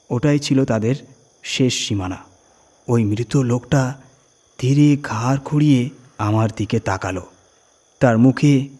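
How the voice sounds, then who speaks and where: A man reading a first-person horror story dramatically, in suspenseful short phrases with pauses. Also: medium pitch, a little mellow.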